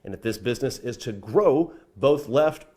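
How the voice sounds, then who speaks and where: A man with an American accent narrating a scripted news feature as a reporter, with an expressive storytelling delivery. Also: medium pitch, moderate pace.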